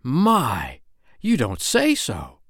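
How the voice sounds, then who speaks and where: A man voicing a mild, upright and curious gentleman doctor, earnest and polite, in a children's storybook. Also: medium pitch, huge pitch swings, very slow, no echo, clean studio sound, voice pushed up, exaggerated voice. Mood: surprised.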